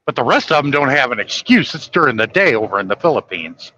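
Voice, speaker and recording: A man's voice: medium pitch, big pitch swings, fast, bright, forceful tone, dull audio. A man chatting casually late at night on a live stream, blunt and griping, swearing freely.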